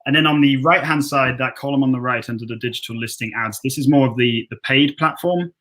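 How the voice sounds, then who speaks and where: Bright-voiced man explaining software. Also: mid-range voice, brisk. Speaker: company representative. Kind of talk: explanatory webinar talk.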